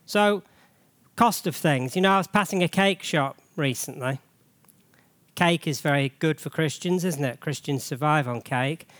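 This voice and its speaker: A man gives a chatty, joking church sermon while fighting a cold that has nearly taken his voice. His speaking voice sits somewhat high. The sound is dry and close. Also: measured pace, a bit bright, no echo. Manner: chatty, jokey, frequent rhetorical questions. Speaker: church treasurer giving a sermon.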